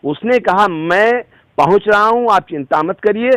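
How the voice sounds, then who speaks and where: Man, higher-pitched, formal and emphatic. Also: expressive, a little slow, fairly bright tone, clean tone, no room sound. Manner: formal, emphatic legal phrasing. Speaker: advocate giving a victim's account. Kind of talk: phone interview statement, recounting a complaint.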